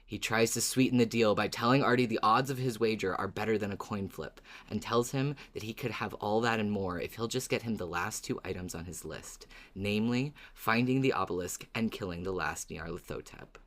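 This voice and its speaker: A man's voice: lowish voice, brisk, few pauses, a bit bright. A man co-hosting a podcast, chatting casually and recapping in a playful, wry, dramatic way. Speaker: podcast co-host.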